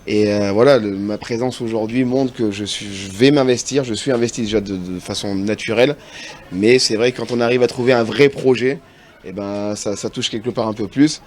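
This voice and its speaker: Brief: French-raised man, low-pitched, halting and earnest studio guest. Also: darkish tone, a little hiss or hum. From France. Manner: earnest, halting, restarts often. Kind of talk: casual TV interview answers. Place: TV studio set.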